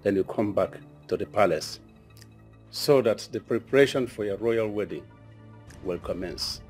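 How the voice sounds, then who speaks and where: An actor playing a palace elder who formally welcomes a royal bride. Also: male voice, on the low side, normal speed, a little mellow, faint music behind, close to an everyday voice. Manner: formal, ceremonial.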